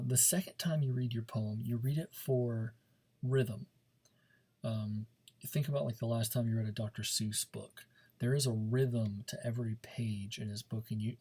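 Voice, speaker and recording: Male speech teacher, soft-edged dark tone with some rasp, informal coaching delivery for a classroom lesson. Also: mid-range voice. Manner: informal, coaching, checks understanding with frequent "okay" and "right".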